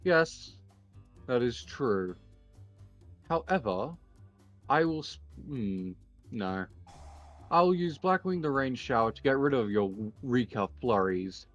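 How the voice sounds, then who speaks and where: A man playing a confident, composed card duelist in a Minecraft anime roleplay, announcing moves in a measured, deliberate way over music. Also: medium pitch, big pitch swings, a bit bright, muffled recording. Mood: calm, smug. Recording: music behind the voice.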